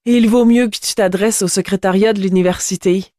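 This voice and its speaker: A matter-of-fact young female student, acted by a woman in a scripted exam dialogue. Her voice is medium-pitched and the recording dry. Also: steady tone, brisk, mellow, no echo, close to an everyday voice.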